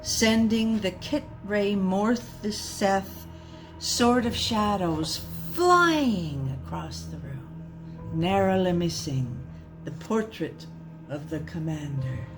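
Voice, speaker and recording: A woman reading a comic story aloud in a dramatic, mock-serious, deliberate storyteller's manner. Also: medium pitch.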